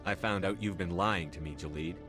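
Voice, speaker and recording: An actor playing a firm, no-nonsense military commander in a video game, direct, controlled and authoritative. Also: male voice, low voice, a little fast, music in the background, natural voice.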